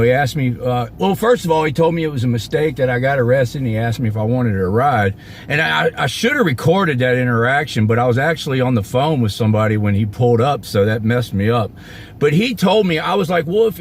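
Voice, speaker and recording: American man, casual, faint noise behind. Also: mid-range voice. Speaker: citizen police auditor and channel host. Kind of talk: casual confrontational street talk and phone chat.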